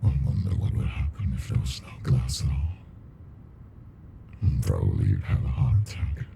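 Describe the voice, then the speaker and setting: A man voicing an ancient captive alien monster in an ASMR roleplay, deep and gravelly, brooding, intimate and possessively smitten with a human. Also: very animated, dark tone, a little hiss or hum, full character voice. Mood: teasing. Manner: slow, brooding, deliberate, intimate.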